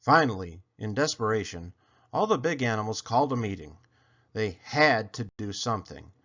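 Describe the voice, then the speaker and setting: A man's voice: on the low side, very animated, normal speed, fairly bright tone, lo-fi sound. A man reading a children's story aloud expressively, leaning dramatically on key words. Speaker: storybook reader.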